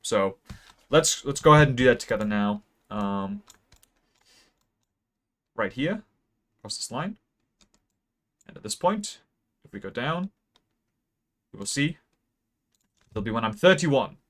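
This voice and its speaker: A young man in his late teens gives a deadpan mock lecture about a graph. He rambles with many ums and speaks close to a mic that sometimes peaks. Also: mid-range voice, a little slow, long pauses. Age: young adult. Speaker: video creator. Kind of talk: mock-serious graph explainer.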